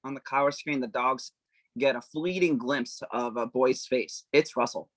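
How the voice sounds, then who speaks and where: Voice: medium pitch, steady tone, normal speed, no echo. Table-read narrator, close dry sound, informal delivery of screenplay directions. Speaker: narrator reading stage directions.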